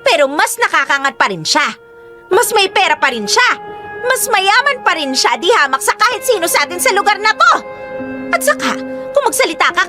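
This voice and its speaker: An actress playing a greedy, sharp-tongued older woman who berates and insults. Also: very high voice, exaggerated voice. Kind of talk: radio drama acting.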